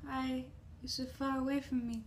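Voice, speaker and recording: A woman's voice: sits high, little pitch movement, normal speed, slight background noise. A young adult woman with an Australian accent, chatting casually and playfully into a handheld phone as a video diary. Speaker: vlogger. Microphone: phone at arm's length.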